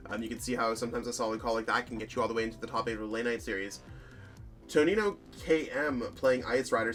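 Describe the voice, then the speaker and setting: Guy with a bit of a hoarse voice rambling through deck lists, medium pitch, lots of ums, soft music under him. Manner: chatty, rambling, lots of fillers and self-corrections. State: slightly hoarse. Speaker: channel host reviewing card game deck lists. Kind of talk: casual commentary over deck lists.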